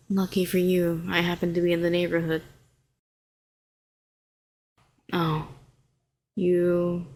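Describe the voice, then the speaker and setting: A woman performs a telepathic villainess in an intimate audio drama. She is a fallen hero with a low voice, sardonic on the surface and protective underneath. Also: natural voice, low-quality recording. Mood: guarded, wry. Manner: sharp and sardonic, turning soothing and coaxing. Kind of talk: intimate scripted audio roleplay.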